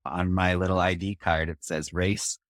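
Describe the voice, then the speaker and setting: Low-voiced reflective man from Singapore. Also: level tone, a bit bright, no room sound, studio quality. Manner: reflective, measured, with frequent fillers. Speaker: podcast guest, community organizer. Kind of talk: conversational storytelling interview.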